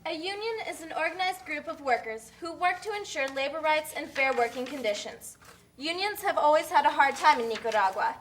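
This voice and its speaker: Woman acting out puppet show worker, high-pitched, bright, blunt, matter-of-fact, explanatory, faint background noise. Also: expressive, a little fast. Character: cynical, matter-of-fact female factory coworker, puppet.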